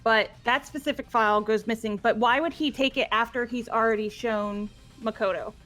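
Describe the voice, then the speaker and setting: Casual female gamer, fairly high voice, talking things over with friends over soft background music. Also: steady tone, normal speed. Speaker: video game player discussing a mystery with friends. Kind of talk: casual group chat.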